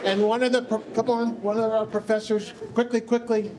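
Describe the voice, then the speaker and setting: A woman hosting an informal event in a hall, briskly directing and calling people up. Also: a little high, level tone. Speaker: event host. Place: indoor rehearsal hall. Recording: clear room noise with clicks.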